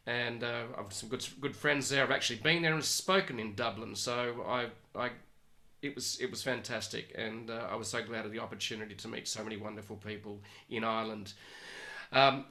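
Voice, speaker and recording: An Australian man hosting a conversational interview show, speaking in a halting, rambling way. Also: medium pitch, sharp tone. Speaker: podcast host.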